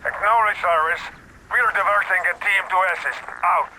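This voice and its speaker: An actor playing a terse, formal command dispatcher heard through a radio filter in a military game. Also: male voice, high-pitched, moderate pace, background noise. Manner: terse, procedural. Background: music.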